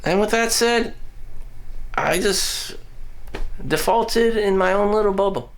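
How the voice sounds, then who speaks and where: A man speaking in a somewhat high register delivers a meandering, unscripted monologue for his vlog. He talks bluntly, swears offhandedly and circles back on himself with constant fillers and false starts. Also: slow. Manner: meandering, frequent "you know" fillers and restarts. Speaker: vlogger. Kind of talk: rambling personal monologue. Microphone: audio-only recording.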